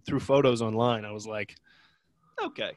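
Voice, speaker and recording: A man's voice: on the low side, steady tone, normal speed, no room sound. A young man with a head cold, his voice nasal and congested. He talks in a loose, rambling way, full of jokes at his own expense, like a podcast co-host chatting with a friend. The sound is dry and close, with no room around it. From Ohio. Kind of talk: casual chat.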